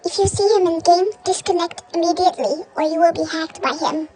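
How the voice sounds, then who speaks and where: Very high-pitched mock emergency newsreader. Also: fairly even intonation, fairly quick, a little mellow, a little hiss or hum, rough recording. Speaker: newsreader in a fictional emergency alert scenario. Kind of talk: scripted mock emergency news broadcast.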